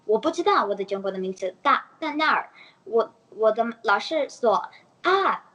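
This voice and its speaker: A girl in her early teens from the United States, chatting in an interview and often pausing to search for words. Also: fairly high pitch, lively intonation, fairly bright tone. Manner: halting, searching for words, giggly. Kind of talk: casual multilingual chat.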